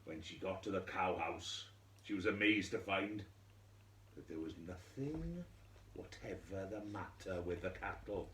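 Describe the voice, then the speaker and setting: A man storyteller narrating a scripted fairy tale for children, theatrically, in measured phrases with dramatic pauses. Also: low voice, lively intonation, fairly bright tone. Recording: echoey room with faint noise.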